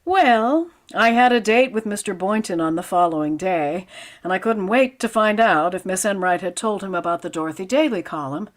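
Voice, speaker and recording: A woman's voice: medium pitch, expressive, normal speed, close to an everyday voice. A woman playing a wry, theatrical schoolteacher who declaims and exclaims in an old-time radio comedy. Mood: wry storytelling. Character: theatrical, wry schoolteacher, eager party performer and storyteller. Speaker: lead actor in a radio comedy. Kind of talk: 1940s-50s radio comedy acting.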